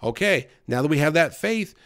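Earnest preacher man, fairly high voice. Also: lively intonation, moderate pace, a bit bright. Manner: earnest, frequent amens and rhetorical questions. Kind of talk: sermon.

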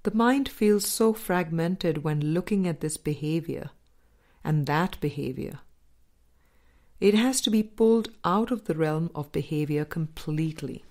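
A woman narrates a spiritual audiobook in a slightly low voice. She reads slowly, setting out each phrase deliberately and leaving measured pauses between them. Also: darkish tone. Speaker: audiobook reader.

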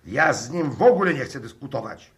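An actor playing a hot-headed, panicky professor in a 1960s radio drama, with agitated, theatrical delivery. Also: male voice, medium pitch, very animated, a little fast, fairly bright tone. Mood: angry, agitated. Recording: noisy old radio drama recording.

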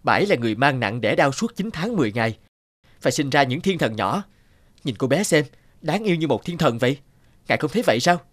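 A man voicing a grieving but determined village father pleading his case in an animated folktale. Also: medium pitch, fast talker, no room sound. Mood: earnest pleading.

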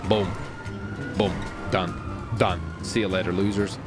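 A man giving excited, playful gaming commentary in a theatrical way, often exclaiming and repeating his phrases. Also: low-pitched, slow pace, over music. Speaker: gamer and video host.

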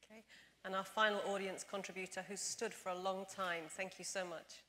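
A woman's voice: medium pitch, steady tone, fairly quick, sharp tone. Woman moderating in a reverberant hall. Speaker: panel moderator. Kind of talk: live panel moderation.

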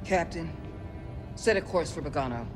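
A man's voice: on the high side, lively intonation, moderate pace, music in the background, lightly acted. A man playing a grave, commanding starship crew member in a video game. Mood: grave, calm. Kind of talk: cinematic video game dialogue.

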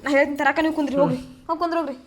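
A woman's voice: high-pitched, steady tone, crowd noise, close to an everyday voice. A woman voicing a young wife who coaxes and pleads with her sulking husband, in a North Karnataka Kannada accent. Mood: pleading. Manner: coaxing, pleading, quick. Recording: noisy, from off mic.

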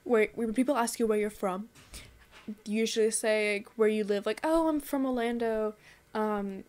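Young woman, early twenties, casual vlog monologue, loose and rambling, slightly high pitch. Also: normal speed, a little mellow. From Texas. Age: young adult. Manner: rambling, many "um"s and restarts. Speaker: vlogger. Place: small bedroom indoors.

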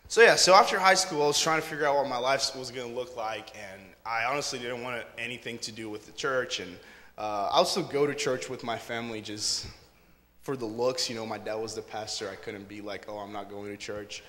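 A young man in his twenties from Brazil, telling his faith story as a guest in an earnest, relaxed way. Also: medium pitch, a little fast. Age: 20s. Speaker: interview guest. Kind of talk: casual testimony on stage. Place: church stage before an audience. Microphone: handheld mic. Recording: church hall reverb.

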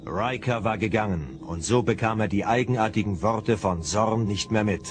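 An actor as a composed storyteller, giving scripted narration in a German audio drama. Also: male voice, lowish voice, moderate pace, noisy background, natural voice.

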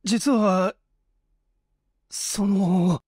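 A man's voice: high voice, steady tone, drawn-out words, darkish tone, husky, no room sound, studio quality, pitched above the performer's own voice, full character voice. A man playing an earnest, penniless young man in a story reading, humble and apologetic, pleading with effort. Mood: nervous pleading.

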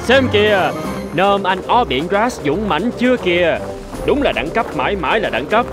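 A man's voice: high-pitched, big pitch swings, normal speed, music in the background. A man voicing a boastful, greedy seabird in a cartoon, swaggering and exclaiming. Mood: gloating. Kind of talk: cartoon dubbing.

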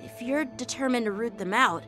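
A woman's voice: a little high, moderate pace, lightly acted. A woman voicing an earnest, hot-tempered young male knight lieutenant in a game, with background music under the lines. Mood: polite. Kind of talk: game voice acting.

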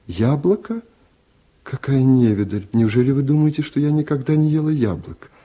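A man's voice: mid-range voice, expressive, normal speed, dark tone, pitched above the performer's own voice, lightly acted. A man voicing a curt, scornful young woman in a 1970s radio literary reading. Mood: irritated. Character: young woman, irritated, dismissive toward a shy admirer.

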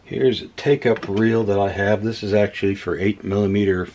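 A man casually demonstrating old equipment at a workbench, unhurried and thinking aloud with many fillers. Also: lowish voice, fairly even intonation, background noise. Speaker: electronics hobbyist host. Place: workbench room indoors.

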